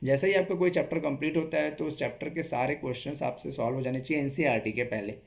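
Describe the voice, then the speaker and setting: A man's voice: fairly high pitch, fairly even intonation, fast, creaky. A man giving an instructional study-strategy talk as an exam coach, explaining step by step and often checking in with short tag questions. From Chhattisgarh, India.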